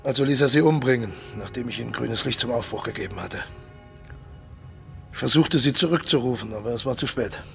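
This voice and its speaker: An actor playing a suave, cynical mercenary colonel and old-hand spy in a radio play, polite but insistent, condescending and menacing. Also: male voice, medium pitch, brisk, vocal fry, fairly bright tone, soft background music. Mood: grave, confiding. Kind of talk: German radio play acting.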